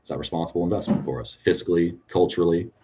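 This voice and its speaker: A man with a very deep, husky voice talks frankly in a relaxed podcast interview. He keeps checking in with his listener, tagging points with a quick "right?". His tone is low and breathy. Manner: frank, tag questions with "right?". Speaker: cannabis business guest.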